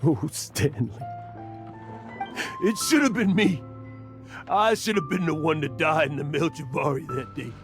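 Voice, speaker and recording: A man's voice: fairly high pitch, a little slow, long pauses. A man playing an aging, boastful adventurer haunted by guilt, in a dramatic video game performance. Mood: anguished, mournful. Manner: dramatic, emotional delivery. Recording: music behind the voice. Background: background music.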